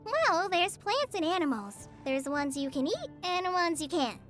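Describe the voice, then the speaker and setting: A woman's voice: very high-pitched, sing-song, a little slow, a bit bright, exaggerated voice. An actress playing a shy, earnest teenage girl forest ranger trainee in a video game, hesitant and easily flustered. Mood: chatty, modest. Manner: hesitant, stammering, apologetic. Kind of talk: video game character voice lines. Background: background music.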